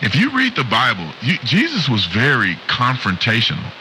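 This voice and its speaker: Sharp, animated man on hissy radio. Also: mid-range voice, moderate pace, poor audio. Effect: played through a radio speaker. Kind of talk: conversational religious talk.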